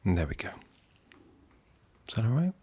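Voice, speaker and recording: A British man doing a soft-spoken ASMR doctor roleplay, breathy and mostly whispered, with a gentle, reassuring, unhurried manner. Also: lowish voice, very animated. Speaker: ASMR performer playing a doctor.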